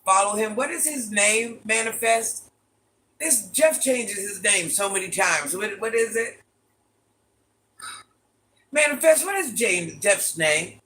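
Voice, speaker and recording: An older woman with a very deep voice, hosting a casual livestream, reading viewer comments and playfully trash-talking. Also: sharp tone. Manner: playful trash talk, sassy asides. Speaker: livestream host.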